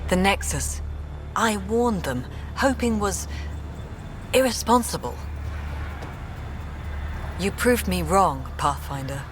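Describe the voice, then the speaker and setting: An actress playing a guarded, skeptical colonial official in a video game, speaking in a measured, dry, candid way. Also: female voice, mid-range voice, animated, noisy background. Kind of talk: video game dramatic dialogue.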